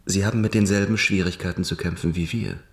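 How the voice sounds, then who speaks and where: An actor playing a measured, confident male physics professor in a wartime radio drama, speaking deliberately and formally. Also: deep voice, fairly even intonation. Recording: roomy, echoing sound.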